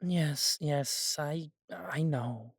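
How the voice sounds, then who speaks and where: Man playing a humble, gentle elf shopkeeper, dry close sound. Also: a little high, extremely slow, husky, no room sound, full character voice. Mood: light, teasing. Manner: gentle, chatty, self-deprecating. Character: immortal elf man, humble self-doubting coffee shop owner. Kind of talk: fantasy roleplay audio drama acting.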